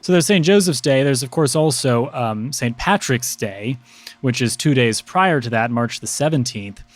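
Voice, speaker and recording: A man speaking as a priest and podcast guest, explaining religious customs conversationally in measured, scholarly, precise wording. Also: medium pitch, animated, no echo.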